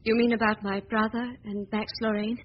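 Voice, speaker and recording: A woman's voice: medium pitch, flat delivery, brisk, close to an everyday voice. An actress playing an earnest, anxious young woman in a 1940s radio drama, pressing with urgent questions. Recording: dull old broadcast recording with faint hiss.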